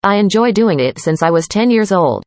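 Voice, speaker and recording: A woman reading a student's part in a scripted classroom conversation, calm and plain. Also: medium pitch, normal speed, no room sound. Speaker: student in a dialogue.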